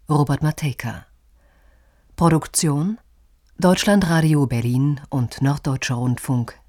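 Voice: mid-range voice, darkish tone, no echo, studio quality. A radio announcer reading scripted credits in a formal style.

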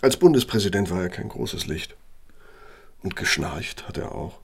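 An actor in a radio play as an aging, self-justifying architect with a Nazi past, speaking deliberately and emphatically in a gravelly, husky voice. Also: male voice, deep voice, expressive, exaggerated voice. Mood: genial, boastful. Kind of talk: German radio play monologue and dialogue.

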